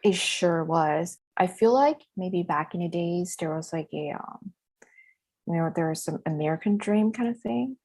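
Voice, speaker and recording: A woman's voice: on the low side, normal speed, darkish tone. A young woman from southern China, chatting as an interview guest, laughing often and speaking loosely with fillers. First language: Chinese. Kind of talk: casual interview chat.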